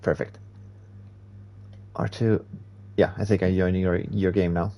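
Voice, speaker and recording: Swedish man streaming, low mellow voice. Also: flat delivery, a little slow. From Sweden. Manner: chatty, laughs at himself, reads chat aloud. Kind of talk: casual live-stream chat while gaming.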